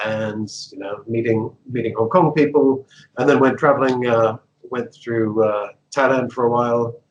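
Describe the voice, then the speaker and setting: An American man in his fifties talks through his life story in a relaxed interview, voice pitched in the middle range. He hesitates, restarts and laughs often as he remembers. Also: moderate pace, lo-fi sound. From United States. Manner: chatty, laughs often, many fillers and restarts. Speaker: guest.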